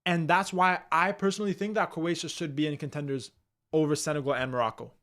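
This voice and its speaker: A man's voice: on the high side, steady tone, fast, fairly bright tone. A young man from Canada hosting a live stream, chatting casually and energetically with his viewers in slangy, repetitive talk. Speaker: livestream host.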